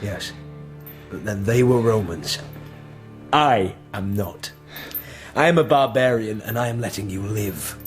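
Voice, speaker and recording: A man playing a hard, resolute but gruffly merciful barbarian general in a radio drama. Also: lowish voice, a little slow, long pauses, lightly acted. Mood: stern, merciful. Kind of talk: British radio drama acting. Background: music.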